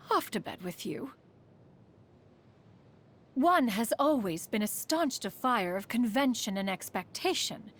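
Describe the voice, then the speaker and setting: A woman playing a proud, aloof immortal adept who secretly cares, speaking in formal, archaic phrases as a video game character. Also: fairly high pitch. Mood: dryly haughty. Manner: haughty, archaic formal speech, refers to self as "one".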